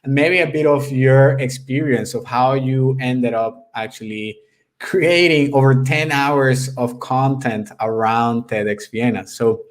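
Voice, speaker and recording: A man's voice: medium pitch, a little slow. Friendly male interview host. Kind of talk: casual online interview.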